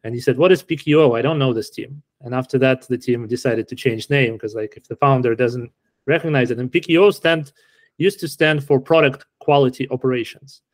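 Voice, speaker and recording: A middle-aged man from Ukraine answering interview questions in a relaxed, explanatory way with frequent fillers. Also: medium pitch, a little fast, dry recording. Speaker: podcast guest.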